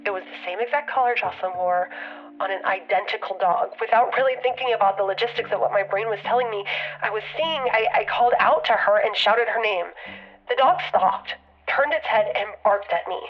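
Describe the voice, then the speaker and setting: A woman's voice: mid-range voice, fairly quick, slight background noise, lightly acted. An actress with an American accent playing an anxious, grieving woman leaving a hesitant, rambling, confessional voicemail in an audio drama. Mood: pleading, upset. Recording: muffled lo-fi phone message with music behind.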